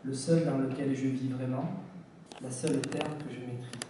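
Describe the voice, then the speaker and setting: A man hosts a literary conversation, posing careful questions in a steady voice. He is heard from a distance in a strongly echoing hall. Clear background noise sits under his voice. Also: mid-range voice. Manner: measured, quotes from the guest's books. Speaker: interviewer. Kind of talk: literary interview on stage. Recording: echoey hall from far off with noise.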